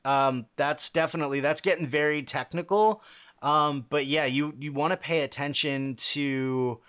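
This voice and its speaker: Man, low bassy voice, casual livestream host, halting speech with fillers. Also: steady tone, fairly bright tone, smooth. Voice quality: fairly low voice with a lot of bass. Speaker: livestream host answering chat questions.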